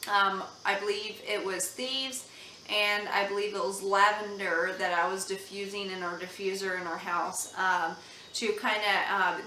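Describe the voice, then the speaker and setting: Brief: young mom vlogger, bright, chatty, earnest, recorded a bit off-mic in an echoey room. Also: female voice, medium pitch, far from the mic, a little hiss or hum. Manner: chatty, earnest, with many ums and restarts. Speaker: mom vlogger reviewing baby products. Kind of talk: casual product review chat. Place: home room.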